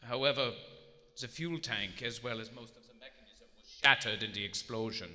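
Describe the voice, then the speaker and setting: A man's voice: mid-range voice, normal speed. A man acting a dutiful, strained submarine lieutenant, formal and deferential, in live radio theater. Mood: grim. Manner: deferential, formal military reporting. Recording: echoey theater hall, loud hiss.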